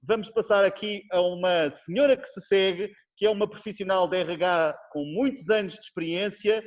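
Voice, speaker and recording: A man hosting a web conference, moving quickly between speakers with jokes. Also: high-pitched. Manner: jokey, quick to hurry speakers along. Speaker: conference host. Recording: muffled web-call audio.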